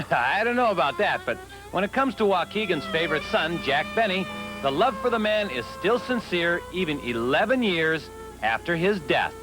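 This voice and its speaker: A man's voice: sits high, very animated, moderate pace, music in the background, poor audio. A man narrating a TV magazine feature in a playful, wry scripted delivery. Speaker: TV reporter.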